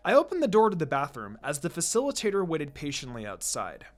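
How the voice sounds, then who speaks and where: An expressive reader and channel host narrating anime fan fiction in a casual, storytelling style. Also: medium pitch, fast. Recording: background music.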